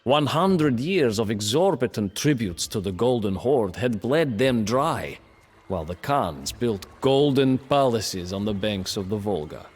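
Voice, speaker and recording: A grave, wry storyteller of medieval wars for a video game. He speaks slowly and deliberately, with pitch that rises and falls dramatically. The voice rings with long reverb over music. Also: animated, breathy edge. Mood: grave storytelling. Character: captive male storyteller in chains, grave and wry medieval chronicler. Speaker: narrator. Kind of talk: dramatic scripted historical narration for a game cutscene.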